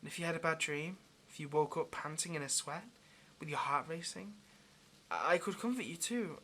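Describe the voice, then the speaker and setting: A man's voice: a little high, normal speed, pushed and bright, a little hiss or hum. A man playing a lonely, clingy yandere demon in an intimate audio roleplay, speaking tenderly and hesitantly straight to the listener with obsessive devotion. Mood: soft, tender.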